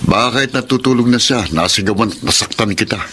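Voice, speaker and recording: A man's voice: fairly low pitch, fast talker, a little hiss or hum. An actor playing a stern, angry young working man scolding as an elder brother in a Filipino radio drama. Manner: scolding, forceful.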